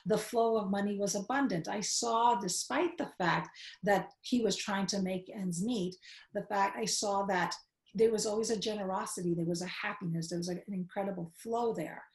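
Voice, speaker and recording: A woman from Queens in New York City, talking as a guest in a measured, explanatory way, slowing down to lay out each step. Her voice sits at an ordinary middle pitch, and the room around her rings with a noticeable echo. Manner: measured, explanatory, slows for key points. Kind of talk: reflective interview answers. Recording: roomy echo.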